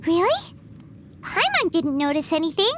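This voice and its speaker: A woman voicing a small, excitable childlike fairy companion with a squeaky, exclamatory delivery in a video game. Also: moderate pace, background noise, heavily acted. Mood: curious. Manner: exclamatory, speaks of herself in third person. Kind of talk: animated video game voice acting. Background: game music.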